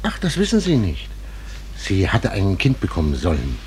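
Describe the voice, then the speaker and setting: An actor playing a talkative, matter-of-fact small-town undertaker who gossips, in a radio drama. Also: male voice, low-pitched, wildly swooping pitch, moderate pace, a little mellow, slight background noise. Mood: matter-of-fact, gossipy. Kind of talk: theatrical radio drama acting.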